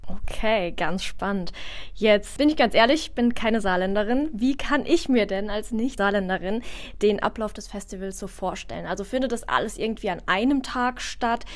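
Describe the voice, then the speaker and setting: A woman's voice: medium pitch, moderate pace. Curious woman interviewer asking questions. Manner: curious, asks questions in runs.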